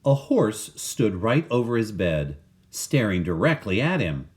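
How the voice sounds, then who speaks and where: A man reading a children's story aloud in expressive scripted narration. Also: lowish voice, unhurried. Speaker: storybook reader.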